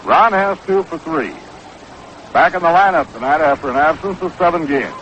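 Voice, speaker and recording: A dull, noisy 1960s radio broadcast carries an American man doing baseball play-by-play. His voice sits a little high. He speaks in a measured, matter-of-fact way, taking his time between pitches. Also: fairly bright tone. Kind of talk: 1960s live radio sports commentary. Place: ballpark broadcast booth.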